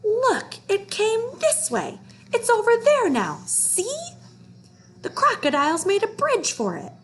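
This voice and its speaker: An irate storybook wild boar, acted out by a woman, shouts in a very high, loud voice. It is alarmed and angry, rousing others to attack. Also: huge pitch swings, slow, slight background noise, heavily acted. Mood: urgent alarm.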